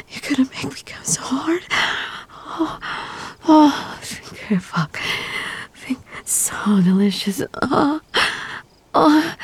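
A woman speaking in a breathy whisper broken by moans and gasps, in an intimate erotic roleplay addressed to a partner. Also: high voice, darkish tone.

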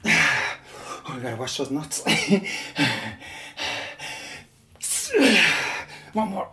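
A man's voice: a little high, sing-song, drawn-out words, bright, forceful tone, a little hiss or hum. Breathless, laughing man mid-workout. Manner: rambling, chatty, laughs often between sets. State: out of breath and sweaty. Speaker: online audio creator. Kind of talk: casual chat while working out.

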